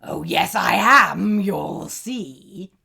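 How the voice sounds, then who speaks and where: A woman voicing a hungry bullying male troll, slow and booming, in a storybook reading. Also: lively intonation, vocal fry, pushed and bright, slightly rough, full character voice. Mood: menacing.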